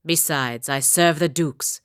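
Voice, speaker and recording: An actress playing a proud, stern, dutiful woman warrior in a fantasy video game, speaking in a formal, wry, measured manner. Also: sits low, clean tone, no echo, clean studio sound. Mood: resolute. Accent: Valian, a lightly Italian-sounding fantasy accent. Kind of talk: scripted game dialogue, voice lines and barks.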